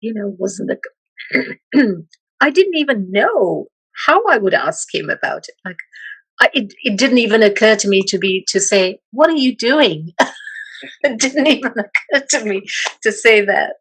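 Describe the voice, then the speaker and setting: A middle-aged woman from Malaysia, a meditation teacher speaking as an interview guest, slow and hesitant with many pauses and restarts. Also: medium pitch, animated, fairly bright tone, breathy edge, dry recording, rough recording. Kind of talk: reflective interview talk.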